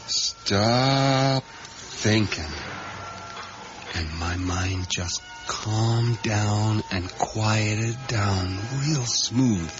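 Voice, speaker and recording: Slow, haunted rural storyteller. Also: male voice, lowish voice, music in the background. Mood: hushed, entranced. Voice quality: folksy, plain-spoken rural speech. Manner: hesitant, repetitive, intense storytelling. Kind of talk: radio drama acting. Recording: dull, noisy 1980s radio recording.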